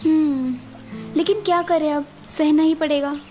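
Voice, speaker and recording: A woman's voice: high-pitched, steady tone, unhurried, music in the background. A woman playing a fed-up young village wife. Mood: complaining. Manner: complaining, sarcastic.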